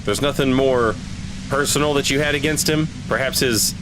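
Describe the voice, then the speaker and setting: A man's voice: medium pitch, moderate pace, noisy background. A man streaming a game and reading its dialogue aloud.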